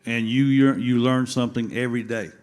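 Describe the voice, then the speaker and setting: A man's voice: medium pitch, steady tone, fairly quick, a little mellow. A man in his fifties in the audience, speaking in a folksy, appreciative way. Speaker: audience member.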